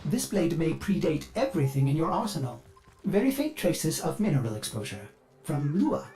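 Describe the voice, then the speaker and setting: Chirpy, swooping ship AI. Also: male voice, on the high side, sing-song, moderate pace. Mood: helpful, analytical. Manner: fussy, theatrical, over-eager. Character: chirpy, bug-addled male ship AI, eager servant with dark glitches. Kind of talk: video game voice acting. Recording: music behind the voice.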